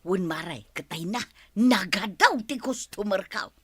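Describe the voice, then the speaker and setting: A woman's voice: medium pitch, lively intonation, a little slow, natural voice. A woman playing a chatty, teasing village manicurist who laughs often, with an Ilocano accent, in audio drama style. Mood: cheerful.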